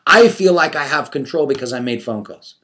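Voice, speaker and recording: A man speaks as a motivational coach, in a conversational but emphatic way at a middling pitch. He repeats key phrases for effect and poses rhetorical questions to his listeners. He also voices inner self-talk as he explains. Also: animated, brisk, a bit bright. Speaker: motivational coach addressing an audience.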